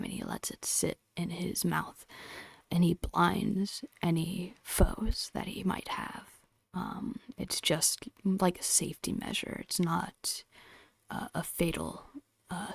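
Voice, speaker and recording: Woman, ASMR art narration, breathy half-whisper, heavy vocal fry, unhurried short phrases. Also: lowish voice, dry recording. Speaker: digital artist hosting an art channel. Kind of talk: ASMR, softly narrating a drawing process.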